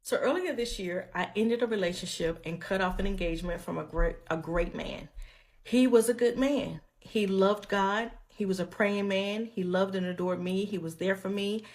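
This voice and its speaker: A woman speaks in a testimonial video with a calm, earnest tone. She sounds reflective and measured at an ordinary mid pitch.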